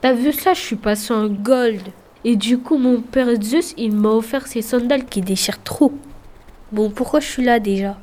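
A man's voice: very high voice, normal speed, a little mellow. A teenage boy playing a breezy, boastful young messenger god with slangy, offhand speech in an audio drama.

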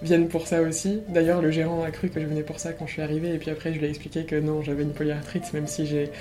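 A woman's voice: sits low, little pitch movement, fast talker. A young woman casually sharing her experience as a patient in conversation, with guitar music behind her. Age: young adult. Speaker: patient giving testimony.

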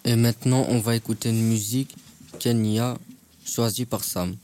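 A man's voice: medium pitch, fairly even intonation, normal speed, warm tone. A man interviewing a guest, asking plain and direct questions. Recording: music clearly heard behind.

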